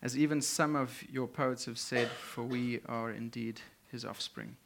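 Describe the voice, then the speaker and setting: Slow man preaching in short phrases. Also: medium pitch, fairly even intonation, gravelly, noisy background. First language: Afrikaans. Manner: speaks in short phrases, pausing for translation. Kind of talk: sermon with live interpreter.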